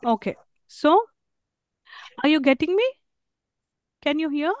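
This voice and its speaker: A woman with an Indian English accent teaching an online class, halting with many fillers and asking questions. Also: high-pitched, animated, a little mellow, no room sound.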